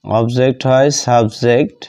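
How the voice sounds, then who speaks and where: A Bengali-accented man gives a grammar lesson in a mellow, relaxed voice. He goes very slowly, dictating piece by piece and often repeating himself as he writes. His pitch sits in the middle range for a man. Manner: halting, repetitive, word-by-word delivery. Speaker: English grammar teacher.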